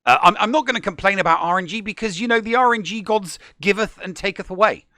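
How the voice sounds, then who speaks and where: A man co-hosting a gaming podcast, chatting casually and animatedly, often stumbling and restarting when excited. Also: sits high, fast talker, dry recording, studio quality. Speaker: podcast co-host.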